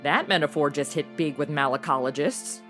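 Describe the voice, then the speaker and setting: An actress playing a sassy, excitable modern young woman in a comedy audio drama, blurting sarcastic remarks in casual speech. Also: deep voice, moderate pace, soft background music, natural voice.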